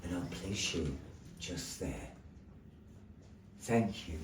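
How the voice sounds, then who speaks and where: Male stage host, playful showman patter, animated pitch, roomy distant sound. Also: very slow, noisy background. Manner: showy, grandly polite, playful. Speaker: puppet show host. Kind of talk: theatrical stage patter with a puppet fortune-teller. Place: gallery room before a live audience. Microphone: distant room mic. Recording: echoey room heard from afar.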